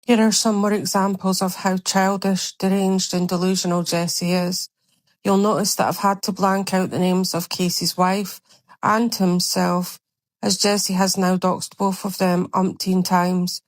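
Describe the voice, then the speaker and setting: A woman's voice: fairly low pitch, little pitch movement, a little slow, no echo. A woman narrating over screenshots in an indignant, condemning tone. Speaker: commentary narrator.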